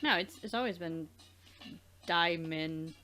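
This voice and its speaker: A woman's voice: on the low side, takes it slowly, pushed and bright. A woman co-hosting a let's play, commenting casually and reacting with blunt disbelief.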